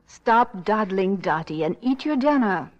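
An actress playing a brisk, amused young mother in a 1940s radio advertisement. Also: medium pitch, lively intonation, lightly acted. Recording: dull vintage radio broadcast.

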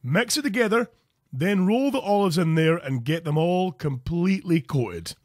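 A man's voice: high-pitched, moderate pace, no room sound, studio quality. A Scottish man hosting a crude comic cooking show, narrating recipes with jokes. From Scotland. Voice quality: crude jokey, animated delivery. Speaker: comedy cooking show host.

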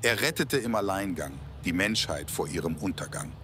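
A man's voice: deep voice, animated, moderate pace, noisy background. A man narrating an audio play in a scripted storytelling style.

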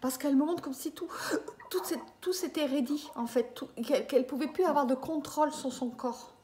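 Woman, 50s, medium, high voice, hesitant, asks "you see?" often, webcam at a desk. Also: fairly quick, mellow. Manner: hesitant, many fillers, checks in with the listener. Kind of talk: live reading session with a client. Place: small room indoors.

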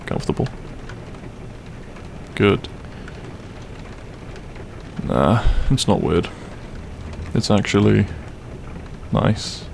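Gravelly, grumpy-soft half-dragon roommate, played by a man. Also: fairly low pitch, animated, extremely slow, pauses often, a little hiss or hum, full character voice. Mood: tender, sleepy. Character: grumpy but tender young male half-dragon roommate. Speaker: roleplay voice actor. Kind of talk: intimate ASMR audio roleplay, comfort scene.

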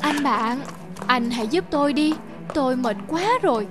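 A woman's voice: sits high, lively intonation, normal speed. A woman voicing a meek, exhausted donkey in a cartoon, pleading wearily. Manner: pleading, weary. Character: meek, exhausted, overloaded donkey begging for help. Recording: music under the voice.

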